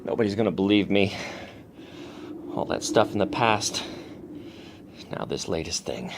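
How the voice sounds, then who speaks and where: An actor playing a haunted, obsessed man who fears the living wind, brooding and halting in a dramatic radio monologue, often dropping into whispers. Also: deep voice. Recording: very noisy radio drama recording.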